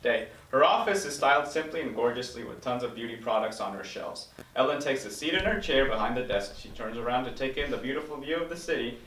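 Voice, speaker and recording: A man's voice: mid-range voice, animated, fast, few pauses, fairly bright tone, far from the mic, slight background noise. A man reading screenplay stage directions as narrator at a table read. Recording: echoey room, slightly off-mic.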